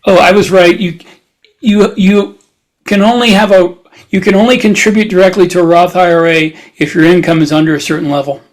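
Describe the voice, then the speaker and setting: High-voiced man in casual chat. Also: fairly even intonation, a little fast, poor audio. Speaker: podcast co-host.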